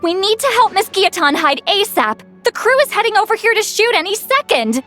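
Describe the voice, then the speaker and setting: A woman's voice: extremely high pitch, very animated, a little fast, sharp tone, soft background music, exaggerated voice. A woman voicing an anxious, helpful young woman with a flustered, hesitant manner in a video game. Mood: worried, urgent.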